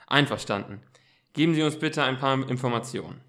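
A man's voice: mid-range voice, fairly quick, fairly bright tone, close to an everyday voice. A man playing an eager, self-assured boy detective who takes charge, in an amateur German radio play. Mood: helpful.

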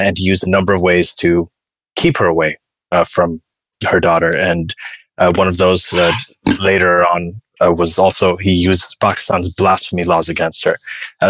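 A man hosting a podcast interview, speaking haltingly with many fillers and restarts. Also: sits low, a bit bright, dry recording, poor audio. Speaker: podcast host.

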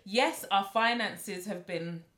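A woman co-hosting a casual podcast chat in a loud, animated way, laughing often. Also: medium pitch, takes it slowly, reverberant. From London, UK. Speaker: podcast co-host.

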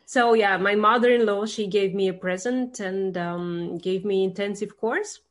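A woman's voice: mid-range voice, unhurried. Woman interviewer. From Russia. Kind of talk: casual conversational interview.

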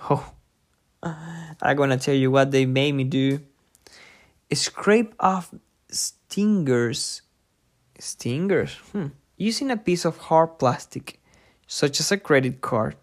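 A podcast host giving an informal lesson from a book, thinking aloud haltingly and repeating difficult words. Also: medium pitch, animated. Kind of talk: informal lesson read from a book with personal asides.

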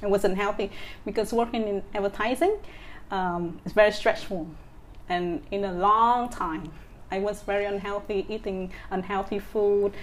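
Vietnamese woman, 30s, guest, halting with restarts, mid pitch, slight room noise. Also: steady tone, poor audio. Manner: hesitant, many fillers and restarts. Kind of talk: casual interview.

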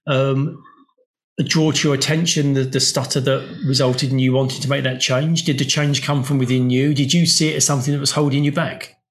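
A man's voice: medium pitch, steady tone, a little fast. Man hosting a podcast. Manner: warm, probing questions with stacked restarts. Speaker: podcast host. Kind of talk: scripted intro, then interview questions.

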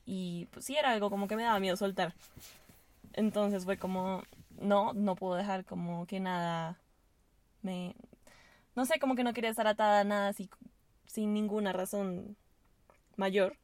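A woman's voice: mid-range voice, fairly even intonation, moderate pace, long pauses, darkish tone. A young woman from Venezuela, a podcast guest, chatting casually in a rambling, hesitant way with lots of fillers, recorded inside a car. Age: young adult.

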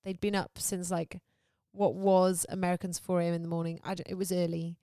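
An English woman in her thirties hosting a parenting podcast, bubbly and quick to laugh. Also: fairly low pitch, level tone, mellow, clear, resonant tone, no room sound, studio quality. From England. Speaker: podcast host. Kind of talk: casual podcast chat.

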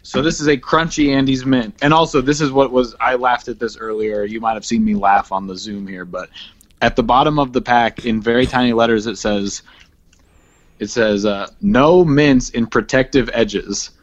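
A man co-hosts a comedy podcast in a casual, playful way. His mid-pitched voice sounds dry, with very little room around it, and he talks in jokey banter. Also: steady tone, moderate pace, no room sound, muffled recording. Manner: playful, jokey, slips into bits. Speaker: podcast co-host.

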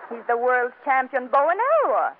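An actress playing a hurt, proud wife with a pointed dramatic manner in a 1940s radio comedy, on a tinny recording. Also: female voice, high-pitched, expressive, fairly quick, a little hiss or hum. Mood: clipped. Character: hurt, proud young wife doubting her husband.

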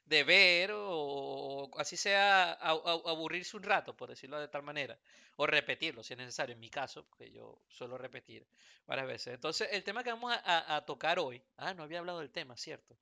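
Venezuelan man, 30s, podcast host; raspy-bright, clean and dry sound, rambling humor. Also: a little high, lively intonation, a little fast, dry recording. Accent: Venezuelan Spanish. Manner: joking, rambling with many fillers and restarts. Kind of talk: casual podcast chat. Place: Houston, United States.

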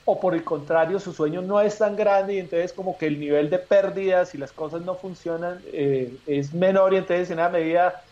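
A man's voice: high-pitched, darkish tone. Thoughtful man, hesitant, lo-fi audio. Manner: reflective, hesitant, many "eh" pauses. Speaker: guest. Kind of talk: casual chat.

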